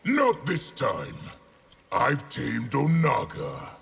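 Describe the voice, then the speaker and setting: An imperious rebel general from a fighting game. The voice is gravelly and slightly high for a man, swinging widely with menace. Loud noise runs behind it. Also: huge pitch swings, drawn-out words, exaggerated voice. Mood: menacing. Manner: imperious, forceful. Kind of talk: video game fight intro dialogue.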